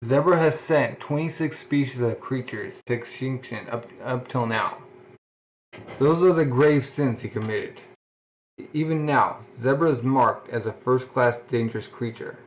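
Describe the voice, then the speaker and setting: A man's voice: mid-range voice, unhurried, slight background noise. A man casually reading a manga aloud, often stumbling and restarting words. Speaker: reader. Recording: dull lo-fi home recording.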